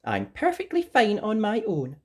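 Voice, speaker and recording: A woman's voice: medium pitch, wildly swooping pitch, moderate pace, slightly rough, pitched above the performer's own voice. A woman voicing a vain young storybook heroine with a haughty, dramatic way of talking. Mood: defiant.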